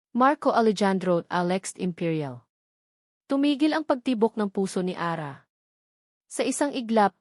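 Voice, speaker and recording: A woman's voice: medium pitch, darkish tone, dry recording, studio quality. A woman storyteller delivering a scripted, dramatic narration.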